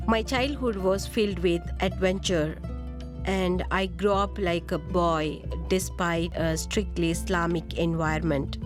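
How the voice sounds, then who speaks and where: A woman from Sri Lanka, a writer, telling her life story in a measured, deliberate first-person narration. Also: lowish voice. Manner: slow, measured, deliberate reading.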